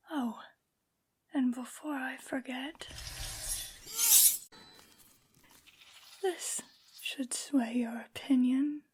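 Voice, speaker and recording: A woman performs a haughty vampire noblewoman in an ASMR roleplay. Her high voice is very breathy, mostly whispered, and she draws out each imperious, menacing phrase extremely slowly. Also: darkish tone, exaggerated voice. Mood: commanding, sly. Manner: imperious, slow, drawn-out scolding. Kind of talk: soft-spoken ASMR roleplay.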